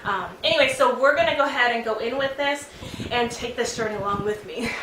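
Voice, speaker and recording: A woman's voice: fairly high pitch, brisk, noisy background. A woman hosting her beauty vlog in a casual, chatty way with lots of ums and giggles, sounding stuffed up from a cold. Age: adult aunt of a teen. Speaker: beauty vlogger host. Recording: roomy sound, mic at a distance, faint music.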